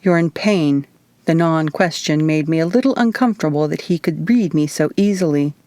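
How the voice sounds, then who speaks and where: A woman reads a first-person novel aloud in audiobook style. Her voice sits slightly low for a woman, and the sound is dry with very little room. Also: fairly quick, dark tone, no echo. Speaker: author reading her audiobook.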